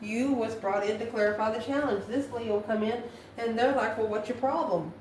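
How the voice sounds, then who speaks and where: A woman giving an improvised spiritual tarot reading to viewers, musing in a halting way and posing questions to herself. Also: fairly high pitch, darkish tone. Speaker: tarot card reader, channel host. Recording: echoey room, distant mic, faint noise.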